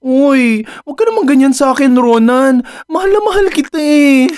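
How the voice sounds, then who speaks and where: A woman's voice: high-pitched, animated, moderate pace, darkish tone, no echo, clean studio sound. A woman playing a clingy, stubborn young lover in a radio drama, insistent and melodramatic in pleading.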